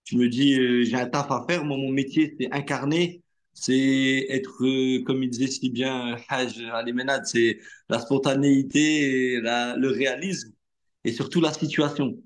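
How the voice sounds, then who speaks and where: A man whose first language is Arabic, an actor telling stories in a relaxed interview, chatty, slangy and full of fillers. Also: medium pitch, a little slow. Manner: animated anecdote-telling with street slang and many fillers. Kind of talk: casual interview storytelling.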